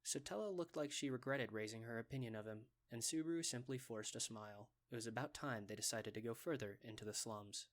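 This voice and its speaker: A man's voice: medium pitch, a little fast, hardly any pauses. A man narrating a scripted fantasy story for an anime fan dub.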